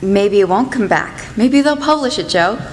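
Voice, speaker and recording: A woman's voice: mid-range voice, expressive, moderate pace, a little hiss or hum, lightly acted. A woman acting in a student radio play as a tender, concerned middle-aged mother. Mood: gentle, concerned. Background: prominent music.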